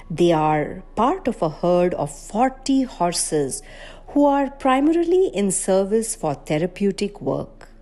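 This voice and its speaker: A woman storyteller reads for young children with very lively intonation. Her pace is slow and deliberate, and her tone is gentle and mellow. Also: lowish voice. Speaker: storyteller, Montessori educator. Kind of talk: expressive children's picture book reading.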